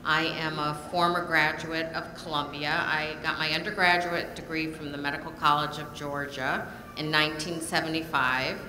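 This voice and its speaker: An older woman, a nursing program director, giving a measured panel talk with frequent pauses. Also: low-pitched, level tone, sharp tone. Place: lecture hall. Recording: echoey hall, audible room noise.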